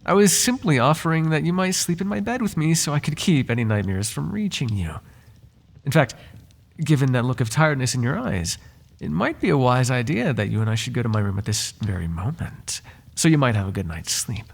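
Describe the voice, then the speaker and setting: A man's voice: medium pitch, lively intonation, a little fast, vocal fry. A man playing a devoted, lovesick butler in an intimate ASMR roleplay, flirting with his master in formal, courtly, teasing and tender words. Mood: tender, coaxing.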